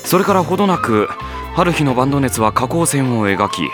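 A man playing a sardonic, put-upon high school boy who narrates and grumbles in an anime audio drama. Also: fairly quick, music in the background, lightly acted. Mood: wry, resigned. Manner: wry, exasperated, deadpan asides.

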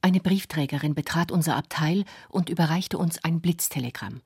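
A woman's voice: sits low, moderate pace, darkish tone, breathy edge, no room sound, clean studio sound, heavily acted. An actress playing a writer's wife, reading her memoir in a sombre, restrained way for a radio drama. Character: writer's wife recalling bitter memories, sombre.

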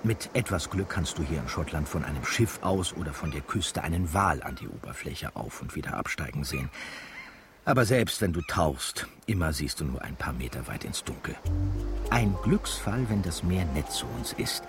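An actor playing a wry, knowing storyteller who narrates a radio drama in an ironic, conspiratorial way. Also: male voice, a bass voice, big pitch swings, moderate pace, exaggerated voice. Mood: musing. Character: wry, knowing local storyteller addressing the listener. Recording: music behind the voice.

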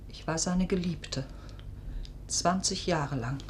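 An actress playing an earnest, pleading, God-fearing aging wife in a 1950s German radio play. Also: female voice, on the low side, slow, slight background noise. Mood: guilty, subdued.

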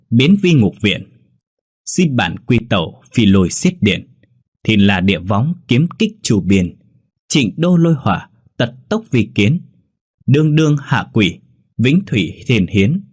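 A resolute young exorcist intones a rhythmic incantation, climbing in pitch and force, as a male narrator performs him. The voice is husky underneath. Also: no echo, studio quality. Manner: chanting incantations.

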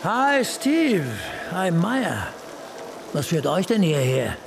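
An actor playing a brash, foul-mouthed, cynical police detective in a radio crime drama, sardonic and coarse. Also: male voice, high-pitched, very animated, slow pace, gravelly, over music, heavily acted. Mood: brash, dismissive.

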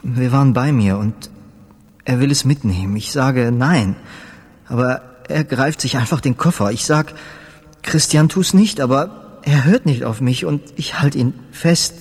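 An actor in a German radio drama playing a guilt-ridden, devout and unhinged musician who speaks in a halting, repetitive murmur. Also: male voice, mid-range voice, slightly rough. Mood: confessing, dazed. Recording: roomy space with long reverb.

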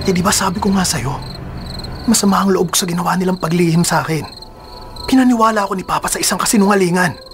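An actor playing a young adopted son, brooding and resentful, in a Filipino radio drama. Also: male voice, sits high, fast, fairly bright tone, slight background noise. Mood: determined. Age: young adult. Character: resentful adopted son plotting a revenge robbery. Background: dramatic music.

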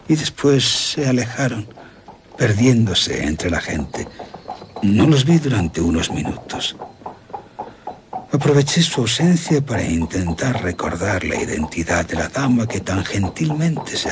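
Amid noticeable noise, a courtly Spanish general in a radio drama. His low voice moves widely in pitch as he recounts a horror with deliberate, remorseful gravity. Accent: Spanish from Spain. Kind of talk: radio drama acting, storytelling.

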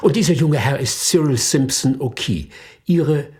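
Male actor as formal gentleman, dry, cautious, average pitch, radio play. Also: measured pace, close to an everyday voice. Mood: dry, polite.

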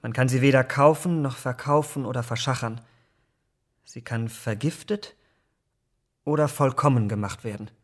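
Casting: male actor as youthful, troubled gentleman in radio drama, mid-range voice, serious and haunted delivery. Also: medium pitch, lightly acted. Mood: haunted, earnest. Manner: earnest, grave, deliberate. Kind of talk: German radio drama acting.

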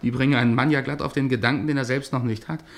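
An actor playing the irritable, theatrical head of a touring troupe in a German radio drama, blustering and declamatory. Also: male voice, medium pitch, steady tone, very fast, vocal fry, natural voice. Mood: mocking, placating.

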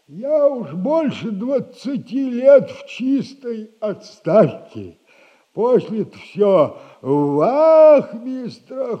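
An actor playing a sly, sardonic old retired soldier who lends money, in a 1960s radio play. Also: male voice, very high voice, lo-fi sound, full character voice. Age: older. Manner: slow, teasing, sardonic advice.

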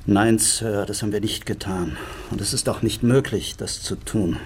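An actor playing a stern, imperious elder British prime minister in a radio drama, speaking gravely and formally with a husky, gravelly voice. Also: male voice, fairly low pitch, normal speed, slight background noise, natural voice. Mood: grave, guarded.

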